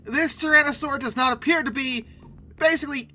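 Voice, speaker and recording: A man's voice: very high-pitched, a little fast, pushed and bright, soft background music. A man giving wry, sarcastic film commentary as the host of a video channel, leaning emphatically on words.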